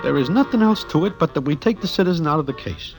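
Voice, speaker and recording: An actor playing a nervous, wry gangster sidekick who also narrates a 1940s radio drama in formal present-tense slang. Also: male voice, on the high side, animated, quick pace, loud music behind the voice. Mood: storytelling.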